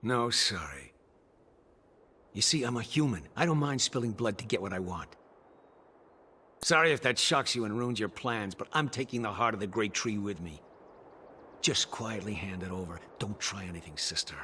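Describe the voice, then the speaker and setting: A man's voice: on the low side, animated, moderate pace, long pauses, bright, forceful tone, a little hiss or hum. A man playing a hardened, cynical mercenary swordsman in a video game, blunt and cold. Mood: cold defiance. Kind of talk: dramatic video game voice acting. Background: faint music.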